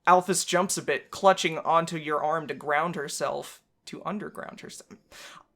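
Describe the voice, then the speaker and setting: A woman's voice: sits low, steady tone, unhurried, fairly bright tone. A woman playing a visual novel, reading the narration aloud and chatting playfully as she goes. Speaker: game streamer, narrator and voice reader. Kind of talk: playful let's play with read-aloud narration.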